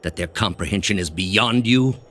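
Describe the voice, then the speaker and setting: An actor playing a cold, pompous male grandmaster of an ancient zealous order in a video game, speaking deliberately and with condescension. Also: lowish voice, fairly bright tone, a little hiss or hum, lightly acted. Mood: scornful, imperious. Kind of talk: dramatic video game dialogue.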